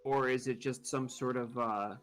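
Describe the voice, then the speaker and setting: Thoughtful guy on a game stream, hesitant, lots of ums, mid pitch. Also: fairly even intonation, moderate pace, fairly bright tone. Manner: thoughtful, hesitant with fillers and restarts. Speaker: gamer on a group stream. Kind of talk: casual discussion.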